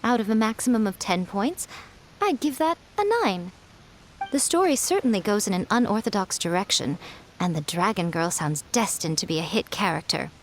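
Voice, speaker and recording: An actress voicing a poised, sly adult female fox spirit in a video game, speaking in a smooth, unhurried and teasing way as a publisher judging story ideas. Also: mid-range voice, animated, slight background noise, close to an everyday voice, poor audio.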